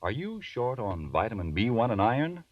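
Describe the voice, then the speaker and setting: A man's voice: medium pitch, big pitch swings, normal speed, no room sound. A man announcing in a formal, emphatic 1940s radio style, with earnest scripted salesmanship. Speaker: radio announcer and sponsor pitchman. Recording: muffled, distant vintage radio recording.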